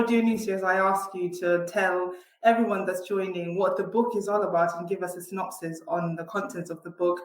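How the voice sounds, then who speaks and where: A woman's voice: mid-range voice, reverberant, slight background noise, low-quality recording. A woman from Botswana hosting a live online event and welcoming viewers in an upbeat way. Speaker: host, head of communications.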